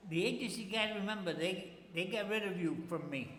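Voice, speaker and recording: Improv comedy role: brusque, dismissive, folksy business owner, played by a man, high register, reverberant room. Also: fairly quick, a bit bright. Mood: curt, dismissive. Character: brusque, money-minded businessman answering the phone, folksy Southern drawl. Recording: very echoey room, background noise.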